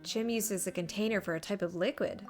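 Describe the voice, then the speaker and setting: A woman streaming a video game with casual, expressive commentary and exclamatory reactions. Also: medium pitch, fast. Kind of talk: casual live game commentary, reading dialogue aloud. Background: game music.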